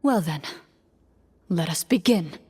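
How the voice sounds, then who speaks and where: An actress playing a composed, formal game master in a video game, narrating gravely in a breathy voice. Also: female voice, fairly low pitch, lively intonation, takes it slowly, darkish tone, full character voice. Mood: solemn. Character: composed, solemn woman running a tabletop fantasy game. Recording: long reverberant hall.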